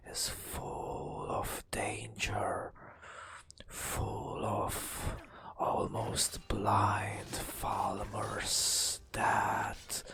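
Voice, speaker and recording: A man narrating a fantasy game as hushed ASMR storytelling, in a breathy whisper with dramatic, storyteller phrasing and pauses. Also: fairly low pitch.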